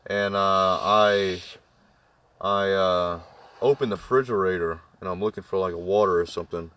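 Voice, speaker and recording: A man with a deep voice telling a spooky personal story to friends, halting with many fillers and restarts. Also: fairly even intonation, a bit bright, far from the mic. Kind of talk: casual ghost story among friends.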